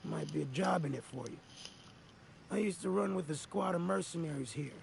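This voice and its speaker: A man's voice: a little high, fairly quick, gravelly, a crowd in the background. An actor playing a grizzled older male ex-mercenary in a game, talking in a folksy, rambling drawl. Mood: weary. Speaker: game character.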